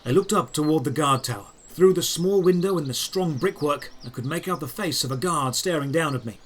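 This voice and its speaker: A man narrating a scripted first-person fantasy adventure in a dramatic, deliberate, descriptive way. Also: fairly high pitch.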